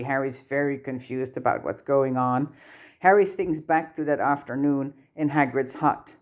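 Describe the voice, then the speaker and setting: A narrator reading fan fiction aloud as scripted audiobook-style narration. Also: mid-range voice. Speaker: podfic narrator.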